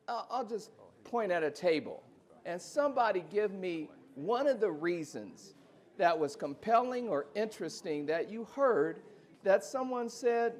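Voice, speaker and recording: Moderator, man, somewhat high voice, casual and direct. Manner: informal, direct, calls on tables. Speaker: event moderator.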